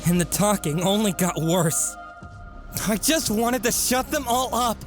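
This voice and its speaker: A man playing a guilt-ridden, defensive teenage son in a comic dub, hesitant and evasive, halting as he talks. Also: sits high, music in the background. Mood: bitter. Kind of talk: amateur comic dub voice acting.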